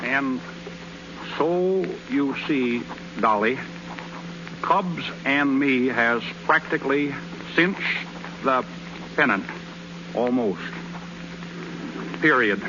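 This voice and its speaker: An actor playing a boastful young baseball pitcher who stammers out excuses in a folksy, ungrammatical drawl, in a 1930s radio drama. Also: male voice, drawn-out words, pauses often. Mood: dreamy. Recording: dull, hissy 1930s radio broadcast.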